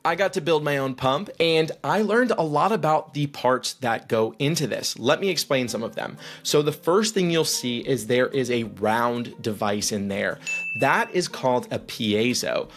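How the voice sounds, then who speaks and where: An upbeat man in his thirties walks through how a gadget works, explaining each part clearly. He adds the odd light joke. He speaks close to a boom-arm mic in a small studio room with faint music underneath. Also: measured pace, fairly bright tone. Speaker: vlog host. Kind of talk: explainer narration.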